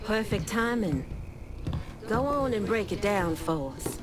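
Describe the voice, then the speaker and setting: An actress playing a proud, shrewd gang leader in a video game, commanding and sardonic. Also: female voice, medium pitch, sing-song, measured pace. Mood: wry. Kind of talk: video game cutscene acting.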